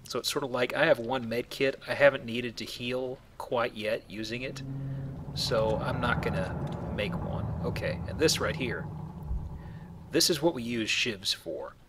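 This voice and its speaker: A man's voice: mid-range voice, a little slow, noisy background. A man hosting a let's play with casual, explanatory game commentary and joking asides.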